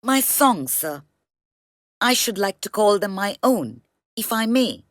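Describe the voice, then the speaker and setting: Lady doing polite dreamy boat kid for a storybook, earnest, slow, super up-and-down voice. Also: medium pitch, sing-song, no echo, clean studio sound. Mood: earnest, wistful. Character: eager, humble, dreamy young boat boy. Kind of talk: children's storybook read-aloud.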